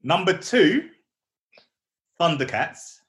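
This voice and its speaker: A man's voice: on the high side, very animated, slow pace, fairly bright tone. A British man co-hosting a podcast with casual, teasing, slangy banter. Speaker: podcast co-host.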